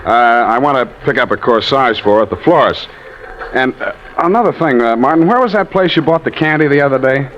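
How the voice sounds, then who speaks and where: A man's voice: medium pitch, animated, fairly quick, natural voice. A man playing a nervous, scheming married office worker in a 1950s radio drama, hesitant and falsely casual. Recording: muffled old radio recording.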